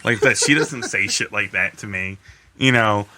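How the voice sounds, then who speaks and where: Bright, forceful man chatting casually. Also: fairly low pitch, normal speed, a little hiss or hum. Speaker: podcast co-host. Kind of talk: casual profane chat.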